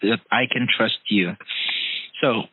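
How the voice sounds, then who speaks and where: A man's voice: on the low side, unhurried, breathy edge, no room sound. A man hosting an interview who talks earnestly and hesitantly, full of fillers. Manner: earnest, hesitant, many "you know" fillers. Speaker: podcast host. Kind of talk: interview conversation. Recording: tinny phone-line call.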